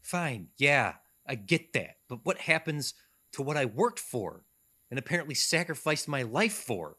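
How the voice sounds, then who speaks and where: A man's voice: mid-range voice, big pitch swings, measured pace, sharp tone, exaggerated voice. A man playing a driven, impatient businessman of forty in an audio mystery drama, insistent and indignant. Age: 40s.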